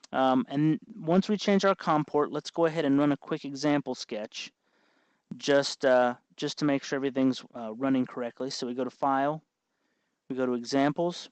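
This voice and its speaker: Male tutorial host, folksy and informal, mid-pitched voice, explains step by step on a slightly dull recording. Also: darkish tone, dry recording. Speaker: host of a hobby electronics tutorial. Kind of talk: informal hands-on tutorial narration.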